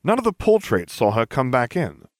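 Male narrator as male teacher, worried, polite, urgent, lively raised pitch, storybook character. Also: moderate pace, dry recording, studio quality, natural voice. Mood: concerned.

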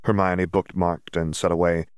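A man's voice: deep voice, flat delivery, moderate pace, clean tone, no room sound. A man reading a fiction audiobook as its narrator, in scripted storytelling style.

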